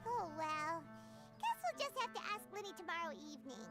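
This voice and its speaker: A woman voicing a tiny, excitable childlike female fairy companion in a video game, squeaky and cutesy, talking about herself in third person. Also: brisk. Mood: excitable, curious. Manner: exclamatory, cutesy. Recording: game music playing loudly behind.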